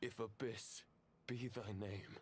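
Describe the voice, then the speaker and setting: A man playing a solemn ancient knight in a video game, grave and deliberate, with archaic dramatic delivery. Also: on the low side, steady tone, slight background noise. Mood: solemn pledge. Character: solemn ancient male knight swearing a dark pledge.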